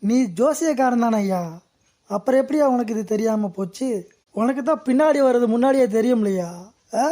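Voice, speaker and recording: A woman's voice: a little high, fairly quick, dark tone, close to an everyday voice. A woman voicing a blunt, mocking male sidekick in a cartoon comedy.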